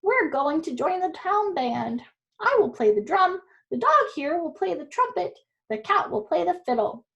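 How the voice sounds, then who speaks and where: A woman's voice: very high-pitched, very animated, normal speed, creaky, fairly bright tone, highs cut off, pitched above the performer's own voice, heavily acted. A woman voicing a cheerful male donkey who brays and leads the others eagerly. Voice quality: braying. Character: loud braying male donkey, cheerful leader.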